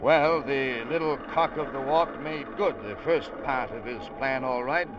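An actor playing a sensible, skeptical ship's officer in a 1940s radio drama, telling the tale in an earnest, dramatic way. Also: male voice, mid-range voice, unhurried, lightly acted. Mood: grim, dramatic. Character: sensible, skeptical ship's first officer recounting a sea adventure. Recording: muffled old radio drama recording.

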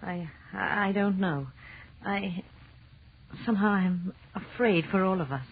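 An actress in a 1930s radio horror play voicing a professor's anxious wife, hesitant and pleading. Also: female voice, medium pitch, unhurried, lightly acted. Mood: alarmed. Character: anxious, soothing professor's wife, easily frightened. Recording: muffled noisy 1930s radio recording.

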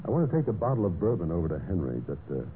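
A scheming husband in a vintage radio mystery. His voice is on the low side and swings widely in pitch, edgy and evasive, on a thin, tinny old broadcast recording. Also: very animated, very fast, noisy background. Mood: evasive, nervous. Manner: hesitant, stalling with uhs when speaking to others. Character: scheming husband narrating his murder plot, nervously evasive. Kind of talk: 1940s-50s radio mystery acting with first-person narration.

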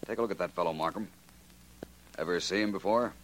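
An actor playing a composed, analytical detective in a 1940s radio drama, with measured, assured delivery. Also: male voice, sits low, natural voice. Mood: brisk, observant. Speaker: lead actor in a detective series. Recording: noisy old radio recording with hum.

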